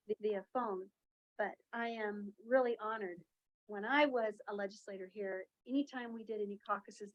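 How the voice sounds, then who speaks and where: A woman's voice: medium pitch, dull audio, a little hiss or hum. A woman chairing a meeting, chatty and welcoming, with many fillers.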